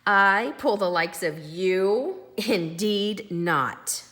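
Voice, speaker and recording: A woman voicing a snobbish, scornful shiny passenger engine in a storybook, with drawn-out, emphatic, snooty delivery. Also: medium pitch, lively intonation, a bit bright. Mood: scornful, boastful.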